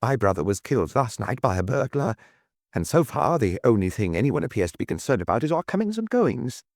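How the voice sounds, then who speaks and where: A man's voice: medium pitch, big pitch swings, a little fast, darkish tone, no echo, clean studio sound. A man voicing a shy, stiff, indignant husband in an audiobook, hesitant and strained as he forces himself to object. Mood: hesitant, aggrieved.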